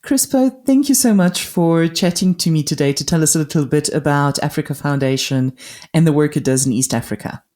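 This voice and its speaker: A woman with a mellow, relaxed tone hosts a podcast interview. She speaks politely and warmly to her guest, with some fillers as she frames her questions. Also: low-pitched, brisk. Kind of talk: hosted interview.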